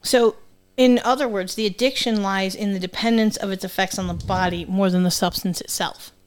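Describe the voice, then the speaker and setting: A woman's voice: medium pitch, steady tone, a little fast, clear, resonant tone. A middle-aged woman with a New England accent touched by Southern, co-hosting a podcast in a blunt, sweary, teasing way. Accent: New England, with some Southern. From New England, United States. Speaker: podcast co-host. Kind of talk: casual chat with presented research.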